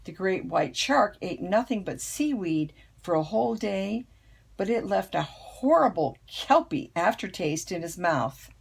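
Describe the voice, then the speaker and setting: An older woman, a grandmother, reading a children's picture book aloud with expressive, storytelling emphasis. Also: mid-range voice, vocal fry, husky. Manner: unhurried, dramatic storytelling emphasis.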